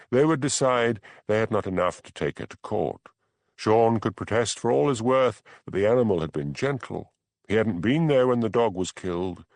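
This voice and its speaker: A man narrating a mystery novel as an audiobook, with measured, expressive storytelling. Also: fairly low pitch, no echo.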